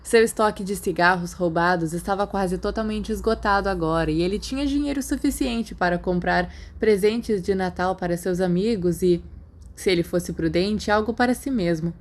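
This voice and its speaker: A woman's voice: mid-range voice, moderate pace. Female narrator for fiction audiobook, Brazilian accent, mid-range voice, scripted storytelling.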